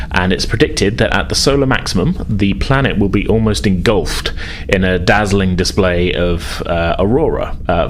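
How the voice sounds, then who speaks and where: A man speaking as game master and podcast host, explaining informally with some hesitation as he runs a tabletop game. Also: low-pitched. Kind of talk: narrating a tabletop role-playing game. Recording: noticeable background noise.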